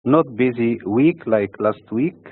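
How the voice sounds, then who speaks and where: A man from Egypt hosting a weekly financial market update, reading dates and figures in a steady, measured way. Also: mid-range voice, husky, dry recording. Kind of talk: scripted market commentary. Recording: dry, phone-like narrow sound.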